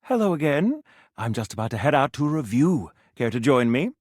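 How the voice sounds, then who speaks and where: A man's voice: medium pitch, sing-song, normal speed, darkish tone, no echo, clean studio sound. An actor voicing a pompous, theatrical male food critic for a video game, speaking in a florid, haughty way and savoring each word. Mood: cordial. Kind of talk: video game character acting.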